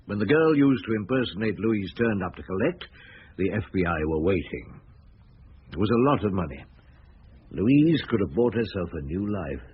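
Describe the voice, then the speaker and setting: An actor playing a patient, shrewd, compassionate police inspector in a radio drama, speaking in a measured, quietly probing way. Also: male voice, fairly low pitch, very animated. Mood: reflective. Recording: muffled, noisy old radio broadcast.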